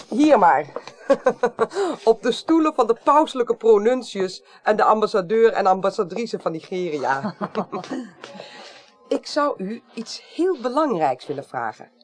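A woman's voice: medium pitch, big pitch swings, measured pace, long pauses, a little mellow. A bossy senior lady clerk, radio drama, formal n dry, voice moves around a lot. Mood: polite, businesslike. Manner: formal, decisive, dryly wry. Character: brisk, commanding senior female parliamentary clerk investigating. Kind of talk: 1980s Dutch radio drama acting.

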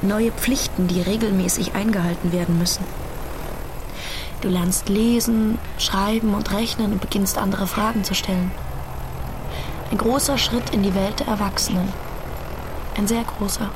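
A woman's voice: mid-range voice, fairly even intonation, moderate pace, long pauses, natural voice. An actress playing a grieving mother who speaks wistfully and intimately to her lost little daughter in a radio drama. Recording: clear background noise.

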